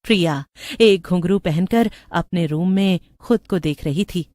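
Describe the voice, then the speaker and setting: Casting: female story narrator, slightly low voice, close dry sound, scripted storytelling. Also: measured pace, darkish tone, dry recording.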